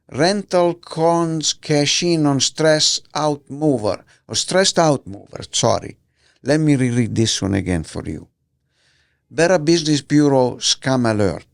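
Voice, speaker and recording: A man with an Italian accent hosts a podcast from a studio, reading newspaper items aloud. His voice is gravelly and his delivery unhurried and halting, with slips he stops to correct. The sound is dry and close. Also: a little high, no echo. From Italy. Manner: halting, slips and rereads words, many fillers. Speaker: podcast host.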